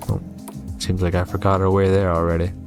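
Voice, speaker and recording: A man's voice: deep voice, level tone, fairly quick, music in the background. A man hosting a game playthrough, reading on-screen text aloud and adding short reactions of his own. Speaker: gaming video host.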